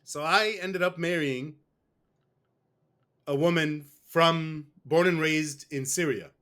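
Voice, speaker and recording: A man's voice: a little high, takes it slowly, fairly bright tone. A man being interviewed, telling a long personal story in a rambling, casual way. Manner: rambling, with restarts and fillers. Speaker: guest. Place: West.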